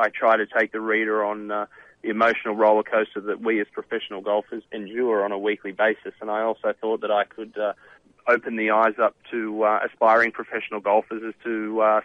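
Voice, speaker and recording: A man in his thirties from Australia, with an Australian accent, a professional golfer answering radio interview questions haltingly with many fillers. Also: lowish voice, no room sound. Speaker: professional golfer and author, guest. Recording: tinny phone line.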